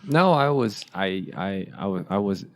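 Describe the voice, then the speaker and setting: Low-voiced halting man. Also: lively intonation, no echo. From Asia. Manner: halting, many restarts and fillers. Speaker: podcast host. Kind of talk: casual conversation.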